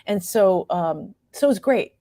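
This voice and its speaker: A woman's voice: mid-range voice, lively intonation, measured pace, dark tone, dry recording, clean studio sound. A woman speaking as an interview guest about creative work, in a casual, effusive and appreciative conversational way. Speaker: playwright and performer, interview guest.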